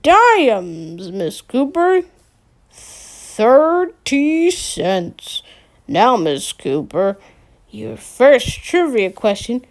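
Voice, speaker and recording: A woman reads as an awkward teenage boy, bouncing between a grand, hammy radio-host voice and a meek, unsure mumble. The voice sits high and lively, swooping as he tries to sound important. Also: very slow, full character voice. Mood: mock-grand.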